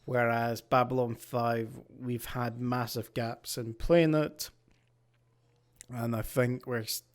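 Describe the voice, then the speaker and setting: A man's voice: medium pitch, level tone, slow. A man of thirty-two chatting casually as a player, laid-back and laughing along. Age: 30s. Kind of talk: casual chat.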